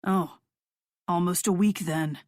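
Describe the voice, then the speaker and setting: A woman's voice: lowish voice, steady tone, takes it slowly, a little mellow, dry recording, clean studio sound, voice pushed down. Woman voicing a weak, hollow-voiced sick young man.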